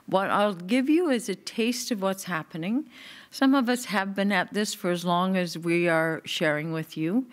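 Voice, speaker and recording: A middle-aged woman from Newfoundland giving a conference talk at a podium microphone, relaxed and conversational, with light asides. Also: mid-range voice. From Newfoundland, Canada. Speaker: clinical researcher, speaker.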